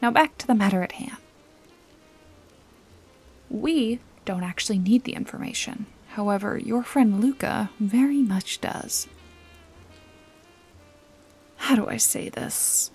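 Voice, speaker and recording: Noir ASMR detective, female performer: sly, bossy woman, soft breathy delivery at a slow pace, dry teasing wit. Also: fairly high pitch. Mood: brisk, wry. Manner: wry, teasing, coaxing, breathy asides. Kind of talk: noir mystery ASMR roleplay, scripted, addressed to listener.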